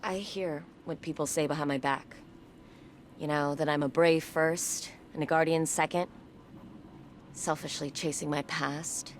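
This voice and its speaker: A woman's voice: low voice, fairly even intonation, unhurried, slight background noise. A woman playing an earnest, determined soldier and tech expert in a video game, speaking persuasively. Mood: reflective, earnest.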